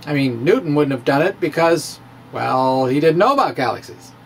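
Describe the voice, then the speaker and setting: A middle-aged man giving a theatrical reading of popular science, with drawn-out emphasis and pauses. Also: a little high, expressive, gravelly, slight background noise. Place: small room with bookshelves. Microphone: handheld-style microphone on a stand, close in front.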